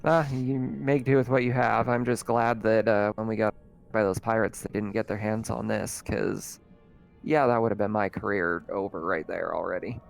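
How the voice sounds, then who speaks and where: A man's voice: on the low side, steady tone, normal speed, creaky, faint music behind. A man playing a weary cargo ship captain in a tabletop game, talking in a casual, hesitant way. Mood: weary, matter-of-fact.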